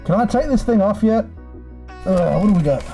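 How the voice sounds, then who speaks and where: A man's voice: sits high, expressive, moderate pace, mellow. A man hosting a casual livestream, chatting in a laid-back, sweary way and addressing viewers as mates. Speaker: live streamer host. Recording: music playing in the background. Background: background music.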